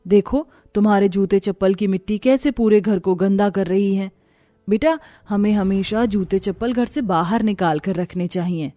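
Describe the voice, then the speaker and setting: A woman's voice: mid-range voice, moderate pace, mellow, lightly acted. Woman acting an admonishing cartoon mother over music. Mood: firm, instructive. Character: adult mother and housemaid, moralizing and scolding. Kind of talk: Hindi cartoon moral story voice acting. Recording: music behind the voice.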